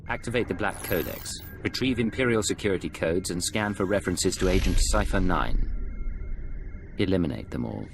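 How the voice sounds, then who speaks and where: A cool, sardonic secret agent from a sci-fi game, speaking in a low, creaky voice. His measured, dry delivery swings widely in pitch. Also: expressive, over music. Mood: resolute. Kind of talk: scripted video game dialogue.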